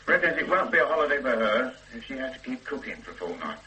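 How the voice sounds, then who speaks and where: A constable in an old radio play, chatting dryly through a dull stakeout. His tone is bright and forceful and his pitch moves freely. A faint hiss sits under it. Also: big pitch swings, fast talker. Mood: casual. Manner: dry, chatty, joking. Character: easygoing male police constable on a stakeout. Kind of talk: 1970s radio drama acting.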